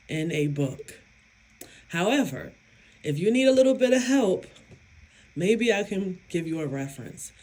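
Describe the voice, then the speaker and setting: A woman vlogger and psychic medium talking casually to her viewers, with a hoarse, raspy voice and an emphatic, self-assured way of speaking. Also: low-pitched, a little mellow. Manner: slow, emphatic, self-affirming, laughs often. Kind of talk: casual talk to camera.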